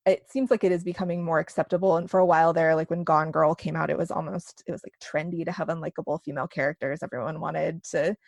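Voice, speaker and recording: Woman, 30s, lower register, candid and conversational podcast guest, dry sound. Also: steady tone, fast talker, vocal fry, hardly any pauses, no echo. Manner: chatty and candid, peppered with "like" and "you know". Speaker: interview guest, novelist and podcaster. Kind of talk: casual conversational interview.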